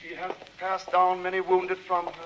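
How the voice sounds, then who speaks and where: An actor playing a quiet, courteous, eccentric and pious gentleman lodger in an old radio drama. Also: sits high, completely flat delivery, moderate pace, dull audio, a little hiss or hum. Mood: fervent. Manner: formal, exceedingly polite. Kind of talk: 1940s radio acting.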